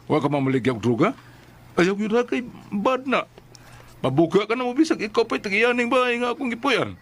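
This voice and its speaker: A man playing an overbearing, menacing neighbour in a radio drama, with a Visayan Filipino accent and a halting, insinuating way of talking. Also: sits high, expressive. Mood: menacing, probing. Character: overbearing, menacing male neighbour who leans on people.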